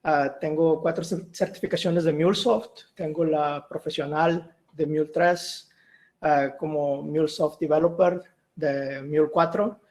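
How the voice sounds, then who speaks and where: A middle-aged man from Mexico, giving a tech talk on a video call, relaxed and full of "eh" fillers. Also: on the high side, a little slow. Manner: relaxed, with many "eh" and "ah" fillers and restarts. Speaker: guest speaker, solution architect. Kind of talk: online tech meetup presentation.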